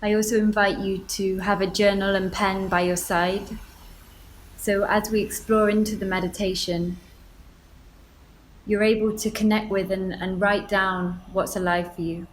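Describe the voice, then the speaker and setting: A woman leading a guided meditation, gently giving instructions with deliberate pauses. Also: mid-range voice, little pitch movement, slight background noise, rough recording.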